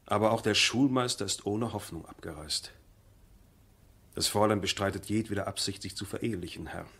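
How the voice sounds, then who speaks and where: Deferential, low-voiced manservant. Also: male voice, a bit bright, slightly rough. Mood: respectful reporting. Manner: respectful, measured, hedging servant speech. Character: deferential, cautious manservant to a baron. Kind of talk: 1970s German radio play acting.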